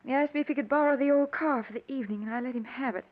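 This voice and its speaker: An actress playing an anxious, devoted middle-aged doctor's wife in an emotional old-time radio mystery style. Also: female voice, high voice, rapid-fire, natural voice. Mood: worried. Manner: dramatic, emotional delivery. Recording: dull, noisy old radio recording.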